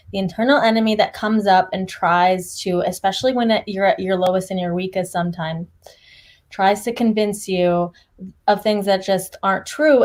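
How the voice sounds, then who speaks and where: A young woman hosting a livestream interview, chatty and energetic, talking over a video call. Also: mid-range voice. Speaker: host.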